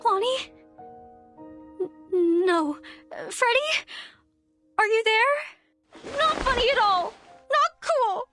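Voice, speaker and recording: Scared high strung girl, super high bright voice, anxious, horror game scene. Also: expressive, slow, long pauses, faint music behind, heavily acted. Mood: uneasy, annoyed. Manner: dramatic, anxious. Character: frightened, high-strung young woman, horror victim. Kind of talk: video game voice acting.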